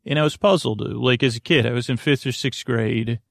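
A man hosting a bedtime podcast in a rambling, halting monologue, often interrupting himself and wandering off, with a creaky voice. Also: mid-range voice, dry recording, studio quality. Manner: meandering, halting, self-interrupting, digressive. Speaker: podcast host.

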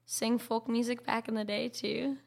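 Woman, podcast co-host, slightly high voice, strong vocal fry, casual chat. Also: level tone, normal speed, a little mellow.

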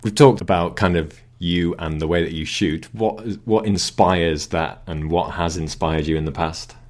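Low-pitched male interviewer, brief, encouraging. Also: fairly even intonation, normal speed, a little mellow.

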